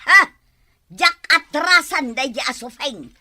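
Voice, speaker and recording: A woman's voice: sits high, lively intonation, takes it slowly, sharp tone, dry recording, exaggerated voice. A woman in an Ilocano radio drama playing a proud, stubborn older mother, scornful and boastful.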